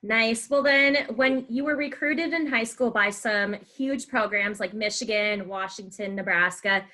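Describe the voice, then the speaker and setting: Young adult woman, bright forceful voice, casual interviewer, podcast host. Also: medium pitch, steady tone, measured pace.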